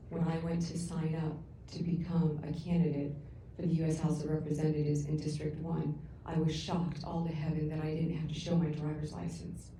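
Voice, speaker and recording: A middle-aged woman giving an earnest, halting public comment at a council meeting, heard through the room's sound system from afar. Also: low voice, a little hiss or hum. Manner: loud voice. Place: council chamber. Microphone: room sound system, picked up from afar. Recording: echoey council chamber from far off.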